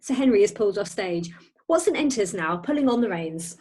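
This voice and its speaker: A woman's voice: medium pitch, lively intonation, brisk, warm tone, a little hiss or hum. A woman narrating a play script, reading out scenes and stage directions.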